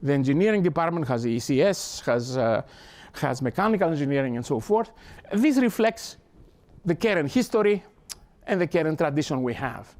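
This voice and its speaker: An older Greek-accented man lecturing to an audience with a somewhat raised pitch. He is earnest and halting, often restarting and repeating words as he works toward his point. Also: very animated, darkish tone. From Greece. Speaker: professor. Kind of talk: academic talk. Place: lecture hall.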